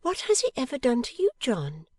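A woman's voice: high voice, huge pitch swings, brisk, dry recording, pitched above the performer's own voice, full character voice. A woman voicing a pouting, affectionate young wife in a storybook, coaxing and emphatic. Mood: teasing. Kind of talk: dramatised audiobook character.

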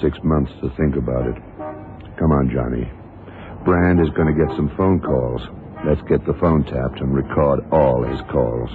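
Very deep-voiced, terse radio detective. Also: male voice. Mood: dry, assured. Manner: terse, deliberate, commanding. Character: shrewd, terse blind American investigator, calm and commanding. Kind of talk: 1960s-70s radio drama acting. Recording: noisy, tinny old radio broadcast.

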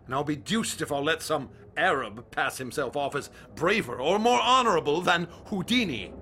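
A brash stage magician in a radio drama, boastful and hungry for adventure. His voice is very bright and forceful, with big theatrical swings in pitch. There is slight noise behind him. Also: expressive, normal speed. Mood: dismissive bravado.

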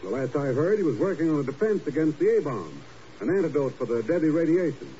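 An actor playing an irascible middle-aged intelligence bureau chief in a 1950s radio drama, brusque and commanding. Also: male voice, a little high, very animated, quick pace, mellow. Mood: relieved, questioning. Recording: dull, very noisy old 1950s radio recording.

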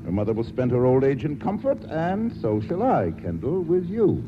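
An actor playing a glib, self-satisfied writer with urbane, dry wit in a 1940s radio play. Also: male voice, mid-range voice, very animated, moderate pace, natural voice. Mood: suave, teasing. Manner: glib, urbane, drily witty. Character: suave, self-satisfied, mercenary male writer and charmer. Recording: noisy, tinny old radio broadcast.

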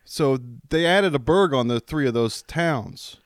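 A man, a guest on a casual podcast, telling stories in an animated way and laughing as he talks. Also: a little high, measured pace, smooth, no room sound, studio quality. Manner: storytelling, animated, laughing while talking. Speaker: guest comedian.

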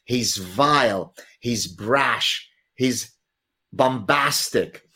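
A man whose native tongue is Arabic talks through his ideas as a guest author. His voice sits at an ordinary middle pitch. He speaks in a lecturing, theatrical way, driving his points home with vivid examples. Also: drawn-out words, bright, forceful tone, breathy edge. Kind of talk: animated explanatory talk.

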